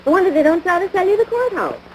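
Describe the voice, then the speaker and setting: A woman's voice: very high-pitched, sing-song, racing. An actress playing a crabby small-town American housewife and mother in a domestic comedy, nagging in folksy, scornful talk. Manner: folksy ungrammatical speech, scornful, exasperated. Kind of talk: domestic radio-style situation comedy. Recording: noisy vintage broadcast recording.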